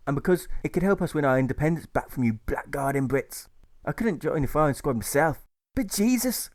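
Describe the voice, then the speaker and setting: A man voicing a friendly teenage soldier with an Irish accent, talking in a lively, boisterous way. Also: fairly high pitch, pitched above the performer's own voice, natural voice.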